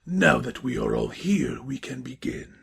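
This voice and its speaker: Gravelly, imperious dark pony king. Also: medium pitch, expressive, full character voice. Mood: commanding. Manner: slow, formal, imperious. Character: commanding dark pony king, confident villain ruler. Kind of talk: dramatized fan fiction acting.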